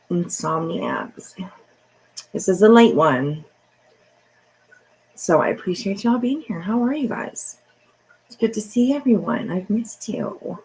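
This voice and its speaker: Middle-aged woman streamer, creaky voice. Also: mid-range voice, warm tone, rough recording. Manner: chatty, rambling, mild swearing. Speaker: live stream host. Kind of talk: casual late-night livestream chat.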